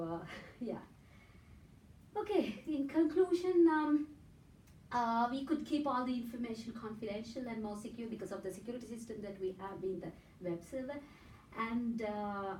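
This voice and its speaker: A woman's voice: on the high side, warm tone, distant mic, slight background noise. Sri Lankan woman presenting in an echoey room. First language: Sinhala. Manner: explains step by step and often adds a checking "right?". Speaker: conference presenter and lecturer. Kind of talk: academic conference presentation. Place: conference room in a hotel.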